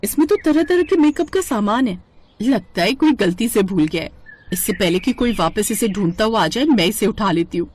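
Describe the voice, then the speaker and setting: A woman's voice: mid-range voice, animated, moderate pace, darkish tone, a little hiss or hum, close to an everyday voice. A woman voicing a vain, jealous and greedy young daughter-in-law in an exclaiming, self-satisfied way, in a storybook tale. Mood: greedy delight.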